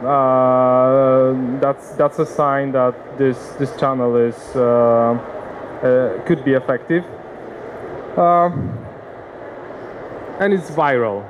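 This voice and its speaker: A man with a Polish accent giving a seminar talk, hesitant, with many pauses and restarts. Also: medium pitch, fairly even intonation, noisy background. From Poland. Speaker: conference speaker. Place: conference hall.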